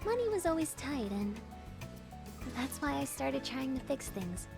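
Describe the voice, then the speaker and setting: A woman voicing a confident, calm and slightly aloof young engineer in a visual novel game, speaking in a composed, matter-of-fact way. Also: high voice, a little slow, vocal fry, faint music behind, exaggerated voice. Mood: matter-of-fact, reflective.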